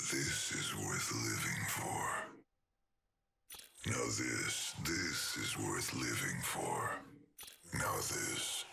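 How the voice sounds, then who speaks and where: Menacing killer machine, extremely gravelly, whispery growl, slow deliberate pace, sadistic, video game villain. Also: male voice, rumbling low, very noisy, exaggerated voice. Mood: cold menace. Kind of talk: video game character voice lines.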